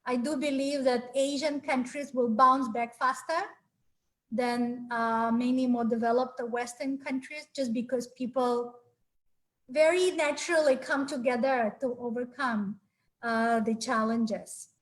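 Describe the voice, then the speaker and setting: A high-pitched woman from Ukraine in her forties presents a webinar from a small room at home. She talks in a friendly, earnest way, often pausing on fillers and starting her sentences again. The sound comes through a laptop webcam mic on a video call. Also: fairly even intonation, fairly bright tone, poor audio. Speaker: webinar speaker. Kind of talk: presenting a live webinar talk.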